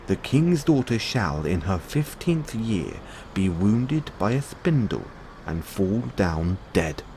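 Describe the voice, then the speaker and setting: A vengeful storybook fairy, played by a man, delivers a solemn curse. The voice is low and swings dramatically in pitch, with faint noise behind it. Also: big pitch swings, slow pace, darkish tone, full character voice, low-quality recording. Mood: angry, menacing. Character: vengeful angry female fairy pronouncing a curse.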